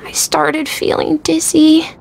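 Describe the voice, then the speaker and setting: A woman voicing a sick little boy in a video game, naive and frightened, with a small, weak, creaky voice. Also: sits high, monotone, takes it slowly, darkish tone, slight background noise, exaggerated voice. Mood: weak, confessing. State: weak and dizzy. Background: game music.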